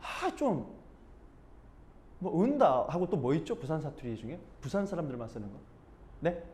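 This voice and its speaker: A man from Busan teaches a speech and expression class in a relaxed, conversational way. His voice sits a little high for a man and moves through a very wide, lively pitch range. He keeps breaking into acted-out snippets of dialect. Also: moderate pace. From Busan, South Korea. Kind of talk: informal class talk.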